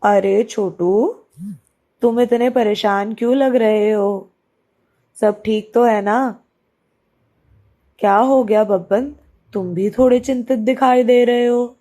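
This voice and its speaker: A woman's voice: a little high, slow, long pauses, dark tone. A woman voicing a concerned, inquisitive male police inspector in a children's cartoon story, full of solicitous questions. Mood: curious concern.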